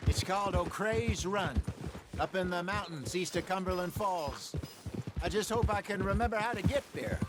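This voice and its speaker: An actor playing a seasoned, genial older outlaw with an American accent and folksy, conversational delivery in a Western video game. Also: male voice, high-pitched, normal speed, heavy background noise, close to an everyday voice. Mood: genial, purposeful. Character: seasoned old male outlaw, genial, wry, fatherly. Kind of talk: video game voice acting, Western drama dialogue. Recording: loud music and horse hooves behind. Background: music, horse hooves.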